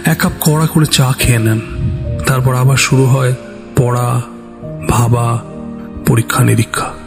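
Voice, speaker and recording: A man narrating a scripted suspense story in a dramatic storytelling style. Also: medium pitch. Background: background music.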